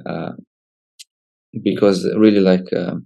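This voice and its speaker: A Polish man in his twenties with a Polish accent, giving casual interview answers as a footballer in hesitant, halting English. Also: sits low, steady tone, vocal fry, mellow. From Poland. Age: 20s. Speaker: professional footballer, interview guest.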